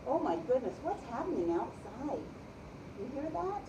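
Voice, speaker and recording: A woman's voice: high voice, very animated, moderate pace, muffled recording, background noise. A woman teacher reading a picture book aloud to a preschool class, in the manner of storytime with questions. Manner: repeats and affirms pupils' answers. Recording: roomy, distant microphone.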